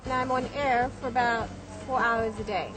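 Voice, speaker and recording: Lady dj being interviewed, high pitched, chatty n friendly, music in the background. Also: steady tone, normal speed, rough recording. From Malaysia. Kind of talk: casual interview chat.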